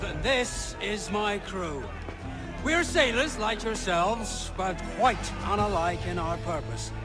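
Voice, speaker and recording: An actor playing a theatrical pirate captain in a video game, commanding, wry and declamatory. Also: male voice, high voice. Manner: declamatory, courteous menace, easily exasperated. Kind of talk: video game cutscene acting.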